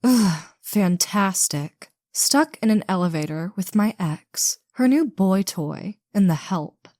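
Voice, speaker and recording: A woman's voice: mid-range voice, lively intonation, slow pace, dark tone, no room sound, clean studio sound. A woman playing a snobbish, sarcastic movie star with a condescending, theatrical drawl in an audio roleplay. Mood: exasperated, haughty. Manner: condescending, theatrical drawl, calls others darling. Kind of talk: scripted audio roleplay acting.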